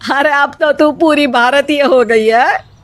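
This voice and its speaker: Lady doing a doting proud saas from a cartoon, highish voice, slow and exclamatory, dry sound. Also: no echo. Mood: reassuring. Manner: exclamatory, indulgent, instructive. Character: affectionate, proud Indian mother-in-law, middle-aged, doting and opinionated. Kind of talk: Hindi animated story acting.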